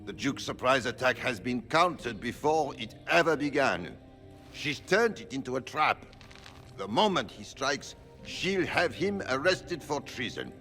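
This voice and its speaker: Nobleman, male: flustered, desperate pleading, bright and animated, long reverb. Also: medium pitch, unhurried, faint music behind, full character voice. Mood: panicked. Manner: anxious, pleading. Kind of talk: video game cutscene dialogue.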